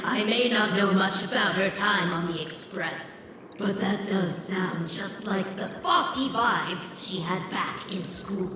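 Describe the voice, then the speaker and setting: An actress playing a guarded, loyal keeper of a secret in a video game, speaking in a measured, formal and expressive way. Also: female voice, medium pitch, distant mic, background noise, close to an everyday voice. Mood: testing, amused. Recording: very echoey reverberant hall, loud music behind.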